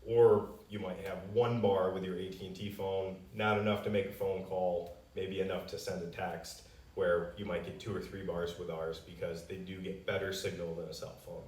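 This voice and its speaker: A man's voice: sits low, little pitch movement, moderate pace, darkish tone, slight background noise. A man giving an unscripted, confident promotional explanation, answering customer questions as a company spokesman. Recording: roomy echo, distant mic.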